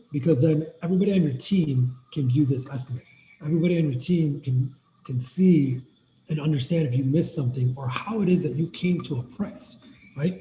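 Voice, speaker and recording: A man with a raspy voice, talking quickly and energetically as he walks viewers through a software demo on a screen share. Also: on the high side, roomy sound, low-quality recording. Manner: fast, energetic, addresses viewers as guys. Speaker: cleaning business owner giving a software demo. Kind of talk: casual screen-share tutorial.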